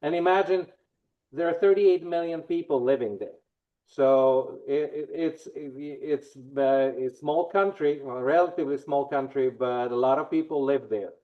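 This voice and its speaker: A man's voice: on the high side, moderate pace, narrow, tinny audio. A man from Poland with a Polish accent, giving a town hall presentation with frequent restarts and fillers.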